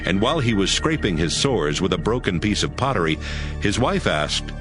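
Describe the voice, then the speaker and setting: A man's voice: deep voice, very animated, moderate pace, music in the background. A man narrating a dramatized Bible as a scripted storyteller.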